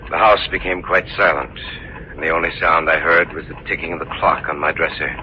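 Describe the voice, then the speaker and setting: An actor playing a sober, uneasy heir who tells his story in a measured, grave manner in 1940s radio drama. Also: deep voice, steady tone, noisy background, close to an everyday voice. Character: sober, uneasy male heir narrating a mystery. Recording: muffled old radio recording, music behind.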